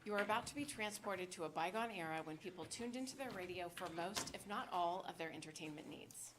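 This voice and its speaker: Female event host, scripted introduction, slightly lower register, noisy live recording. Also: fairly quick. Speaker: library host introducing a show. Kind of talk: scripted welcome announcement. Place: live public event in a library. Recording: clear background noise, clicks.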